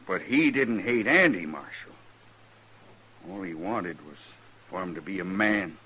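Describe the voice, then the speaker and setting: A man's voice: low voice, lively intonation, unhurried, sharp tone, breathy edge, full character voice. An actor playing a grieving, plainspoken frontier man in an old-time radio western. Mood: sorrowful, regretful. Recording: noisy vintage radio recording.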